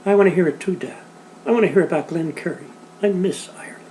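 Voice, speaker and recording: A man doing a shrill, panicky little girl. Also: fast talker, dark tone, slight background noise, lightly acted, rough recording. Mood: pleading. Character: frightened little girl sobbing for her parents.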